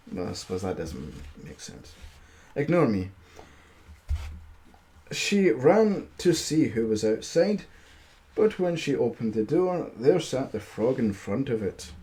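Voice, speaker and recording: Mellow guy reading fairy tales live, slow, trips over words, mocks himself with crude asides. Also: medium pitch. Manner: self-deprecating, stumbling over words. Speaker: storytelling livestream host.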